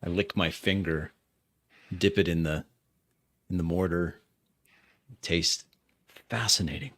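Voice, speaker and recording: A man improvising in character as a tabletop roleplaying player, deliberately mulling over his phrases aloud. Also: low-pitched, fairly even intonation, a bit bright.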